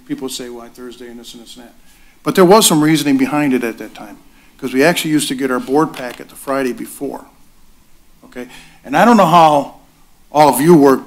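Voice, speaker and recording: A man chairing a school board meeting, explaining at length and often adding "okay". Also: medium pitch. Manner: rambling, repeats "okay".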